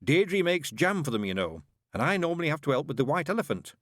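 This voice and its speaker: A mild, weary middle-aged accountant who speaks politely and hesitantly, voiced by a man. His pitch moves a lot. The recording is close and dry. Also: very animated, quick pace, a bit bright, no echo.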